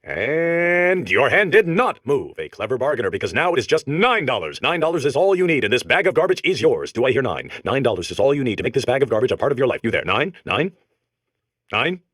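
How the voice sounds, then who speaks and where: A man's voice: mid-range voice, sing-song, brisk, fairly bright tone, dry recording, clean studio sound. An actor playing a pushy, hucksterish auctioneer robot in a comedic video game, with cajoling salesman patter. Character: pushy fast-talking auctioneer robot core, hucksterish, relentless. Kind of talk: comedic game character acting.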